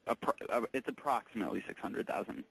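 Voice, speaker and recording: Male store tech, lower register, casual phone explanations, patient, halting, informal delivery. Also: vocal fry, a bit bright, husky, no room sound, poor audio. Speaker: electronics store tech support employee taking a call.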